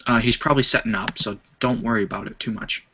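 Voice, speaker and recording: A low-pitched man speaks in a flat, level tone with a bright but husky voice. He lays out his views in a measured way over a call whose audio sounds dull and lo-fi. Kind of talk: casual online voice chat. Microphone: online voice call.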